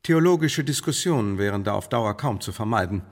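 A man's voice: on the low side, lively intonation, fairly quick. A man acting in a radio play as a stern, ruthless secret service superior, authoritative and matter-of-fact. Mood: stern, businesslike. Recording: long hall-like reverb.

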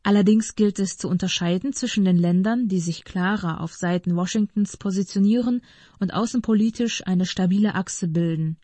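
A woman reading scripted political commentary aloud in a formal, measured manner. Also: medium pitch, warm tone, no room sound.

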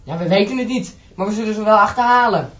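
A man's voice: high voice, fast, fairly bright tone, lo-fi sound. A man playing a cornered, defiant teenage schoolboy in a radio play. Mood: urgent.

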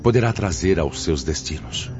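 A fatherly spirit elder in a Brazilian radio drama. His voice is extremely low and moves expressively as he admonishes with formal gravity. Music plays behind the dull recording. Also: big pitch swings, normal speed. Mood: solemn, admonishing. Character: solemn fatherly spirit elder, a gently stern missionary.

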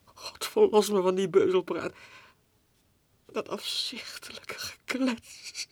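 A husky, agitated madman. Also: high-pitched, slow pace, no echo, in a whisper. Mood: anguished, exasperated. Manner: theatrical, muttering and exclaiming to himself. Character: lovesick madman, agitated and scornful, reading letters aloud. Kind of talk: 1960s Dutch radio drama monologue.

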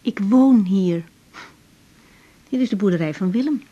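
An actress playing an earnest, troubled young female doctor who speaks haltingly in a husky voice, in a naturalistic radio drama. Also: medium pitch, mellow, muffled recording, close to an everyday voice. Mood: guarded, polite. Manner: halting, searching for words.

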